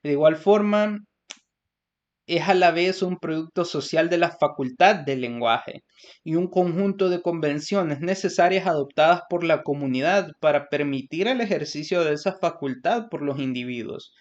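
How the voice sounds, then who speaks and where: A man's voice: fairly high pitch, moderate pace. A young man from El Salvador with a Salvadoran Spanish accent, giving a class presentation and explaining from his notes with many fillers and restarts. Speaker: student presenter. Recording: lo-fi muffled recording.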